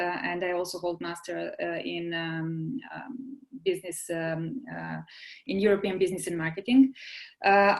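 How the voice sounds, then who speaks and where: A woman from Serbia with a Serbian accent, a translator and interpreter introducing herself to a class, pausing often with filled pauses. Serbian is her first language. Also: fairly low pitch. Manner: hesitant, many filled pauses. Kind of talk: self-introduction in an online class.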